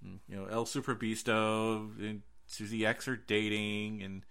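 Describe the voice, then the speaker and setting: Bright, forceful American man hosting a podcast. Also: fairly low pitch, flat delivery, a little slow, gravelly, clean tone. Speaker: horror podcast host. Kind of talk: casual movie recommendation chat.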